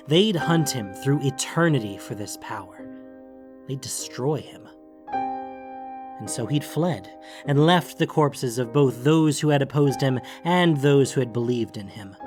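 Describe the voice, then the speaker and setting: A man reading scripted fantasy fiction as a dramatic audiobook narrator. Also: medium pitch.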